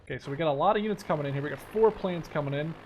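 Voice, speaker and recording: Young man casually commentating a game, higher-pitched. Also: rapid-fire, darkish tone, a little hiss or hum. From Netherlands. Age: 20s. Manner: informal, thinking aloud while playing. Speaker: gaming video host.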